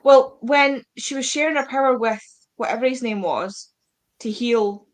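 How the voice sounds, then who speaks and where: A woman's voice: on the high side, moderate pace. A woman chatting casually as co-host of a book readalong, peppering her speech with "like" and acting out bits of dialogue. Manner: peppers speech with "like", acts out quotes.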